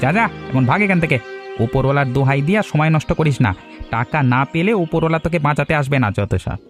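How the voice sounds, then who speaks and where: Man acting a sneering butcher, wildly animated delivery. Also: a little high, brisk, music in the background, close to an everyday voice. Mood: irritated, threatening. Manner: sneering, scolding, rhetorical questions. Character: gruff mocking butcher shopkeeper, scornful moneylending bully. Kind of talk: cartoon voice acting.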